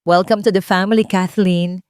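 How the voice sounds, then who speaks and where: A woman voicing a charming, talkative young man who greets a newcomer warmly and mixes English into his talk. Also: on the low side, fairly even intonation, normal speed, no echo, clean studio sound, close to an everyday voice.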